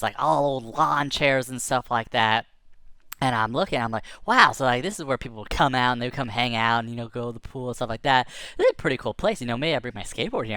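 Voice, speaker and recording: Lively, rambling male storyteller. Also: mid-range voice, clean tone, no echo. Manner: casual, rambling, lots of fillers. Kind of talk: telling a tall tale.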